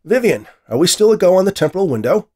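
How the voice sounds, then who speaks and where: A man voicing an ambitious, excitable male scientist with an eager, grandiose manner in a science fiction story. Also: high voice, fast talker, voice pushed up. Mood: excited, anxious.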